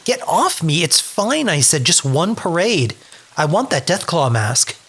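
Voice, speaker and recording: Desperate, slow-talking male survivor acted by a man. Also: a little high, sing-song, fairly bright tone, slightly rough, full character voice. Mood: weary exasperation. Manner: anguished, exasperated, muttering to himself. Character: desperate, foul-mouthed male wasteland survivor trapped in a loop. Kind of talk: audio drama acting.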